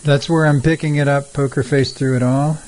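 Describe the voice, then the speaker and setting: A man hosting a casual interview, asking unhurried, curious follow-up questions. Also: a little high, flat delivery, background noise. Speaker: radio show host.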